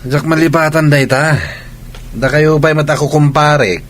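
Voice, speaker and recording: A man's voice: fairly high pitch, fairly even intonation, measured pace, fairly bright tone. A man with an Ilocano accent playing a lazy, carefree young farmer who likes a drink, drawling colloquially in a radio comedy drama.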